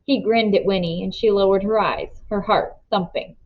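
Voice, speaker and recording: A woman's voice: mid-range voice, moderate pace. Woman narrating a storybook, slightly muffled. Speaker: read-aloud narrator. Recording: slightly dull, lo-fi sound.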